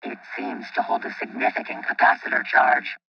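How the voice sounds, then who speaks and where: A man voicing a calm, cautious robot guardian in a radio play, with a gravelly, husky, filtered voice and formal, clipped, analytical phrasing. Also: moderate pace, recorded from a distance, highs cut off. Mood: measured, cautious. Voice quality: gravelly, husky, electronically processed.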